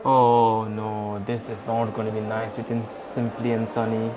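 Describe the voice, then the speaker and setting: Hoarse excitable race commentator, man. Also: lowish voice, measured pace. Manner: excitable, blunt, talks over co-host. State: hoarse, losing his voice. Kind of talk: live sim-racing commentary. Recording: game sounds and music behind. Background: racing game engine noise and music.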